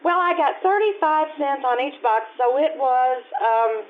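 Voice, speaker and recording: A woman's voice: sits high, animated, a little slow. Actress as a wistful woman reminiscing, tinny radio sound. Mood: candid, reminiscing. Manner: reminiscing, earnest, gently storytelling. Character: wistful grown woman fondly recalling her Depression childhood. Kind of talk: old-time radio drama acting, narration and dialogue. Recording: tinny narrow-band radio-play recording with music.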